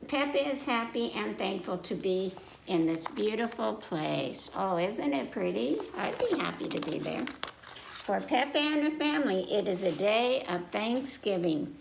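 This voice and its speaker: American woman reading to kids, gravelly creak. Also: medium pitch, lively intonation, measured pace. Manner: simple, repetitive, checking in with young listeners. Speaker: children's librarian, story time host. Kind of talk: children's picture book read-aloud with asides to listeners. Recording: echoey room with faint noise.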